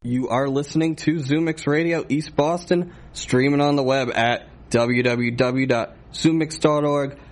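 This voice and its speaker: A man with a somewhat high voice reads a radio station identification. He spaces his words out in a slow, measured announcing manner. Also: fairly even intonation.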